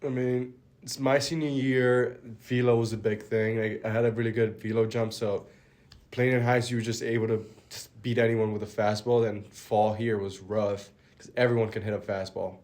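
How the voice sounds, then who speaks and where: A young man from the Dominican Republic talks through his story as a podcast guest. His voice sits low and stays level, with little rise and fall, and his sentences fade softly at the end. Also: little pitch movement, normal speed. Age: young adult. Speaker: college baseball pitcher, guest. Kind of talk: casual interview answers.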